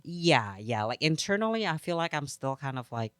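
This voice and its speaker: Smooth, dry-voiced guest. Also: male voice, a little high, sing-song, moderate pace, fairly bright tone, no room sound. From Indonesia. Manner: hesitant, many fillers and restarts. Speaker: podcast guest. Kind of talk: casual interview chat.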